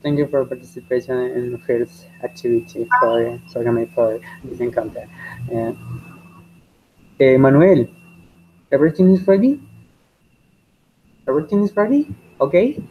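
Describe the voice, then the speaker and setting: A man teaching a beginner English class. He speaks haltingly and repeats each question several times. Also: mid-range voice, expressive. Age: 20s. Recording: dull lo-fi audio with faint noise.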